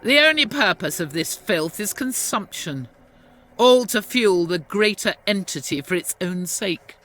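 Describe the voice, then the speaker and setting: A devout, scornful holy warrior declaims slowly in a high voice with a hard, bright edge. The delivery is formal and righteous, as in a dramatic game cutscene. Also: male voice, lively intonation, soft background music, full character voice. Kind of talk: dramatic scripted game acting.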